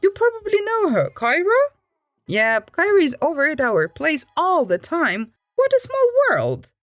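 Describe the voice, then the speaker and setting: A man's voice: extremely high pitch, wildly swooping pitch, a little slow, fairly bright tone, no echo, voice pushed up, full character voice. A woman voicing a chatty, friendly middle-aged male air ambulance medic who is eager to make small talk. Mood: delighted.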